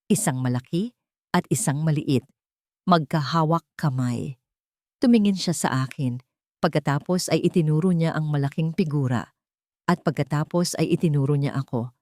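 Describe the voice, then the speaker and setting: Female audiobook narrator, low register, close dry sound, dramatic first-person storytelling. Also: a little mellow, dry recording.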